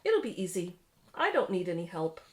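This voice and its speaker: A woman's voice: fairly low pitch, very animated, moderate pace, voice pushed down. A woman reading the part of a determined young boy inventor, earnest and matter-of-fact.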